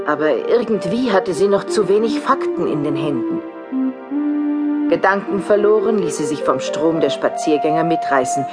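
A woman narrates an audio drama from a script. Her voice is low for a woman, and music plays strongly behind her. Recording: prominent music bed behind.

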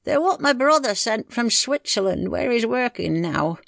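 A woman voicing a gruff, impatient old village husband with a rural English dialect in a story reading. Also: fairly high pitch, big pitch swings, normal speed, no room sound, studio quality, voice pushed up. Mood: grumbling. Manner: grumbling, bawling, rustic.

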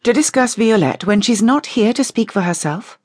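Lady narrator playing an impatient girl vampire sticking up for someone, audiobook, pitched up a bit. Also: very animated, normal speed, no room sound, clean studio sound. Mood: challenging. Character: impatient, defensive female vampire, challenging and guilty. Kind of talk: audiobook character dialogue.

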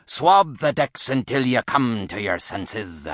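A man voicing a gruff, bullying pirate rabbit captain in an audiobook story, barking orders in pirate slang. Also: medium pitch, sing-song, takes it slowly, no echo, clean studio sound. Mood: angry, scornful.

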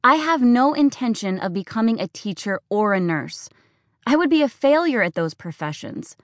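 A woman's voice: fairly high pitch, big pitch swings, normal speed, no room sound, studio quality, pitched above the performer's own voice. A woman doing a defiant teenage farm girl. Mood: pleading, defiant. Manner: pleading, accusing.

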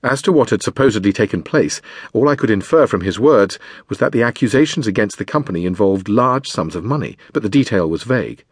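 A man reading a memoir as a scripted audiobook narration, in a measured, deliberate manner with dramatic pauses. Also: fairly low pitch, animated, slightly rough, no room sound, studio quality.

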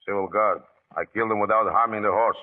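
A thin, narrowband old radio recording. An actor plays a stubborn, menacing guerrilla boss with a fairly low voice, speaking in terse, deliberate phrases as the one in command. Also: male voice, steady tone, natural voice. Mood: proud, stubborn. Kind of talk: 1940s radio acting.

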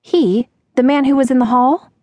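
A woman reader voices a teenage girl sleuth from a mystery storybook. She sounds slightly raised in pitch, curious and firm, with a kindly confidence. The recording is dry and close. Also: normal speed, a little mellow, no echo, natural voice. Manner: firm, inquisitive.

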